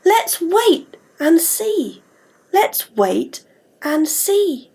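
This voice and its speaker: A British woman voicing a gentle young girl in a folk tale, pleading in a drawn-out singsong. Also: extremely high pitch, big pitch swings, creaky, voice pushed up, exaggerated voice.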